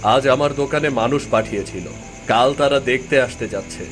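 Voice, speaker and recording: A man's voice: medium pitch, normal speed, soft background music, lightly acted. A man voicing a mild, long-suffering village family man in a cartoon, with a folksy manner. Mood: earnest. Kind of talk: cartoon story voice acting.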